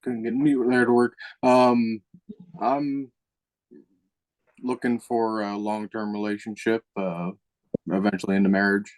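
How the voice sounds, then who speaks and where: A man in his twenties answering casually, halting with pauses and fillers. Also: lowish voice, little pitch movement, no echo, low-quality recording. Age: 20s. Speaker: caller. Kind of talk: casual self-introduction.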